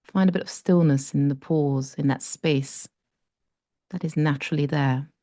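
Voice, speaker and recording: A woman with a low, mellow voice leads a breathing meditation. She talks slowly and softly and leaves long pauses between phrases. The sound is close and dry. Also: dry recording. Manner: slow, soft and gentle, with long pauses. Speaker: meditation guide.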